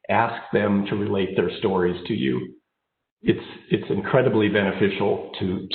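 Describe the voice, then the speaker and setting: An American man in his fifties with a slight head cold, giving an informal, personal keynote speech remotely. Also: fairly low pitch. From born in Japan, raised overseas, then United States. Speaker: keynote speaker, engineering executive. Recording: phone-like narrow band, roomy echo.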